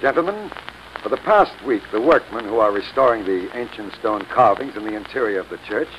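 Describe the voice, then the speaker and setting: Man as formal low-voiced architect, vintage radio. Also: expressive, a little fast, muffled recording, close to an everyday voice. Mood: grave. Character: formal, earnest male architect addressing committee. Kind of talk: 1930s radio acting. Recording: vintage 1930s radio with hiss.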